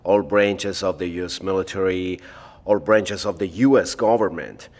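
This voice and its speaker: A man's voice: sits low, normal speed. A man playing a precise, pedantic scientist in an audio drama, speaking formally. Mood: pedantic, measured. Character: precise, pedantic male secret project scientist. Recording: long hollow hall reverb.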